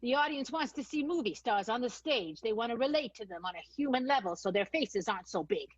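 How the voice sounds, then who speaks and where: A woman's voice: fairly high pitch, expressive, fairly quick, breathy edge, no room sound, muffled recording. A woman playing a hardened, probing 1920s vaudeville theatre owner in an improvised radio soap. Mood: admiring.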